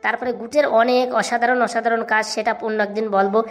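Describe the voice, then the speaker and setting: A woman plays a cheeky cartoon schoolboy with a highish voice. He rattles things off at great speed, like a recited lesson, sure of himself and bossy with it. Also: fairly even intonation, quick pace, lightly acted. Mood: eager reciting. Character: cheeky know-it-all village schoolboy Hagu, bossy and boastful. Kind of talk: comic cartoon dialogue.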